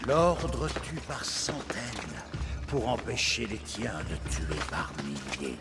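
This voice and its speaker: An actor playing a ruthless, embittered hunter of an ancient order in a video game, with a menacing, theatrical delivery. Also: male voice, lowish voice, sing-song, slow pace, heavy background noise, exaggerated voice. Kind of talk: dramatic video game acting in French.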